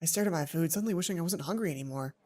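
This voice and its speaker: A woman reading a visual novel aloud as a let's player, with expressive, dramatic storytelling. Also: lowish voice, racing.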